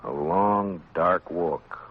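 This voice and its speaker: An American actor playing a stern, world-weary, plainspoken frontier marshal in 1950s radio drama style, terse and deliberate. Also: male voice, low voice, a little hiss or hum. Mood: brooding narration. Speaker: lead actor and narrator of a radio western. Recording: dull old radio recording with music.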